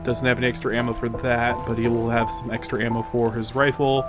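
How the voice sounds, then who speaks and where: A man's voice: medium pitch, flat delivery, a little fast, over loud music. A man playing a tabletop game, casually describing what his character does.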